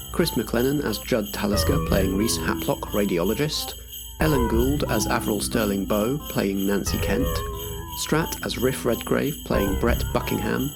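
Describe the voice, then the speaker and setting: A man's voice: on the low side, creaky, rough recording. A man reading scripted credits as the announcer of an audio drama podcast. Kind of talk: scripted credits narration over music.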